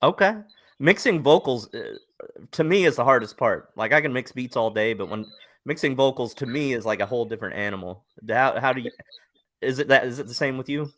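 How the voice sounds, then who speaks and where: Man, mid-pitched voice, easygoing show host, reads out viewer questions, casual. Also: expressive, fairly quick, a bit bright. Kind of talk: casual interview chat.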